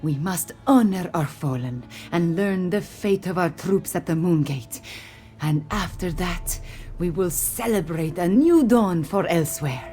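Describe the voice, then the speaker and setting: Low, mellow-voiced regal cat-folk queen. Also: lively intonation. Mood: earnest, solemn. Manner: formal, measured, stately phrasing. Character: regal, weary Khajiit cat-folk warrior queen, grateful and earnest. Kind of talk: video game dialogue. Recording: game music behind the voice.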